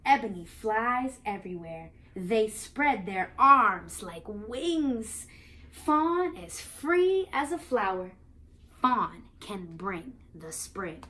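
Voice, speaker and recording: A young adult woman with an American accent, reading aloud and explaining to young children in an expressive, playful, sing-song manner. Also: medium pitch, slow pace. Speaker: children's read-aloud host and teacher. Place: small living room, indoors on a couch.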